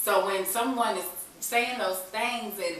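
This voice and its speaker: Echoey, bright-voiced American woman, slightly low. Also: unhurried. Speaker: talk show guest sharing her recovery story. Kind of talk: candid personal storytelling in conversation. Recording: echoey room, distant mic, faint hiss.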